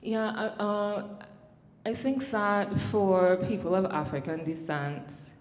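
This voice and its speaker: A woman's voice: mid-range voice, slow. Trinidadian lady academic on a panel, lots of ums and restarts, roomy echo. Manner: thoughtful, many fillers and restarts. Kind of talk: panel discussion. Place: large hall on stage. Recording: echoey hall with long reverb.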